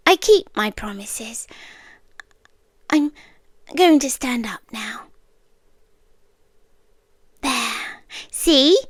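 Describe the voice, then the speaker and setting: A woman performs a yandere girlfriend for ASMR roleplay, a British woman with a high, hushed voice. She speaks very slowly and deliberately, drifting into whispers. The sound is dry and intimate, and her tenderness carries a calm, obsessive menace. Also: dry recording, exaggerated voice. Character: soft-spoken obsessive girlfriend stalker, calm, coolly intense, yandere.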